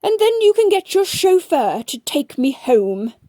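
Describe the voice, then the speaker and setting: A woman voicing a posh, bad-tempered gentleman biscuit in a children's storybook, pompous and blustering. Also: very high voice, sing-song, unhurried, no echo, pitched above the performer's own voice, exaggerated voice. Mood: booming, demanding. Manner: pompous, blustering, clipped upper-class phrasing.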